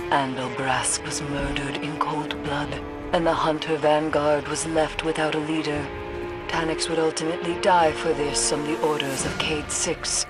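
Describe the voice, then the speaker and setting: A woman playing a grim, haunted mystic in a video game cutscene, speaking solemnly and ominously in formal, measured phrases with a breathy voice. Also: sits low. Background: music.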